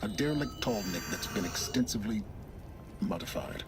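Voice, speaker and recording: An actor playing a secretive, self-serving mentor in a video game, measured, curt and superior in manner. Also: male voice, fairly low pitch, lively intonation. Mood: curt, impatient. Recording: music under the voice.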